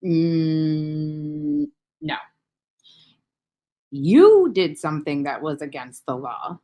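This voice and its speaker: A woman's voice: low-pitched, big pitch swings, extremely slow, dark tone. A woman hosting an unscripted reaction video, speaking in a blunt, mocking way with heavy swearing and emphatic repetition.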